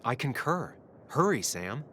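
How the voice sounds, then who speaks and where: A man voicing a composed inner companion in a video game, advising and urging in a crisp manner. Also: mid-range voice, sing-song, measured pace, sharp tone, a little hiss or hum.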